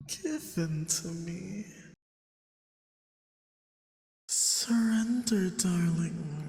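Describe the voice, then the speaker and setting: Slow, high-voiced effeminate vampire played by a man. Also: exaggerated voice. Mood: pleading, possessive. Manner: breathy, coaxing, slipping into whispers. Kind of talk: intimate ASMR roleplay acting. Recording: long reverberant hall echo.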